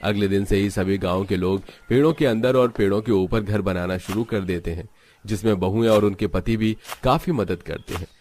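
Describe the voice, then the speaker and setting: A man's voice: on the low side, moderate pace, darkish tone, slight background noise. A man giving scripted narration for a cartoon village story.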